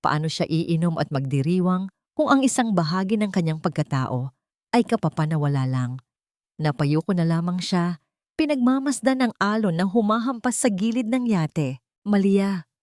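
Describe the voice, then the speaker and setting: A woman's voice: lowish voice, animated, normal speed, darkish tone, no echo, studio quality. A woman narrating a dramatic scripted story.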